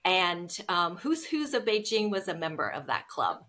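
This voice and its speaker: A woman's voice: lowish voice, sing-song, normal speed, a bit bright. A woman, telling her story as an interview guest with many ums. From Seattle, United States. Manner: chatty, storytelling, frequent ums. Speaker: guest, business founder. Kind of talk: casual interview conversation.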